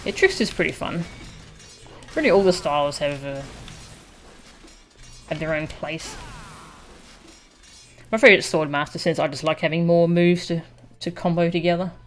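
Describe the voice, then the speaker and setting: A woman's voice: fairly low pitch, normal speed, long pauses, faint music behind. A woman streaming a video game, giving casual live commentary as she plays.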